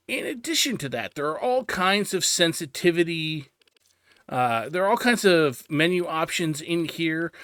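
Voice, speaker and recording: Man, crisp bright tone, mid pitch, instructional game commentary, emphatic advice, dry close sound. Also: dry recording. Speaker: gaming video host.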